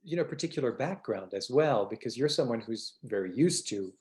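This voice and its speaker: A man's voice: medium pitch, animated, a little fast, a little mellow. A man co-hosting a podcast in a conversational interview style, often searching for words and circling back. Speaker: podcast co-host.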